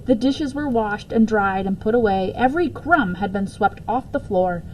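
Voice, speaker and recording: A woman reading a children's book aloud as an expressive storyteller. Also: medium pitch, normal speed, slight background noise, poor audio. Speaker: story reader.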